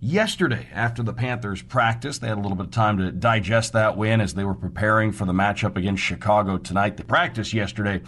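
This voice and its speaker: A man's voice: on the low side, a little fast, hardly any pauses, a bit bright. A man hosting a sports show, recapping hockey news in a semi-scripted radio style.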